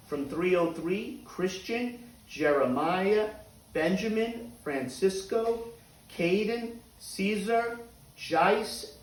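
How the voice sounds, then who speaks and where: Slow-talking male teacher in a roomy space. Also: high voice, far from the mic. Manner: deliberate, clearly spaced phrasing for children. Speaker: teacher reading aloud to students. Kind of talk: children's read-aloud and announcements to pupils.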